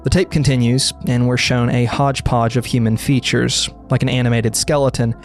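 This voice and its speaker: Male horror explainer narrator, medium pitch, scripted delivery, faint music bed. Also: little pitch movement, fairly quick.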